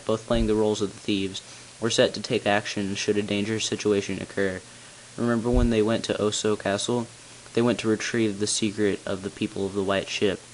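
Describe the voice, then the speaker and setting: A hissy home recording carries the low voice of a tall, solemn bell ringer acted by an amateur man. He narrates long-kept secrets from a video game story. His delivery is grave and deliberate. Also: close to an everyday voice, poor audio. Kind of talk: scripted character narration, amateur game voice-over.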